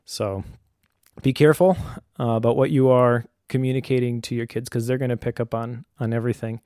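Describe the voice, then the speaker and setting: Man, mid-pitched and mellow, measured and reflective. Conversational advice for a podcast, dry sound. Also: steady tone, no room sound. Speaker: podcast co-host.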